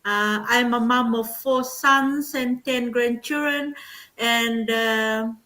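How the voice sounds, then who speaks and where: Higher-pitched older woman from Fiji, enthusiastic. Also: fairly even intonation, slow pace, pushed and bright, soft background music. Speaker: panel speaker, business mentor. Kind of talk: informal testimonial in an online live session.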